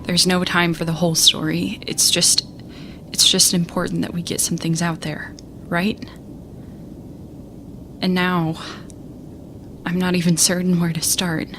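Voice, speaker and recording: An actress playing a young female starship captain, shaken and stubborn, telling her story in a halting, earnest monologue for an audio drama. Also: on the low side, little pitch movement, noisy background, lightly acted. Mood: shaken, hesitant. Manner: halting, earnest, self-interrupting. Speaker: lead character recounting events in a broadcast. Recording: music bed under the voice. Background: music.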